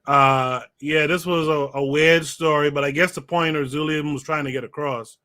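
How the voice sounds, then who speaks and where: A man hosting a podcast livestream, reading out viewer comments and calling on guests in a relaxed way. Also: fairly high pitch, moderate pace, smooth. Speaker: podcast host. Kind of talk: moderating a livestream discussion.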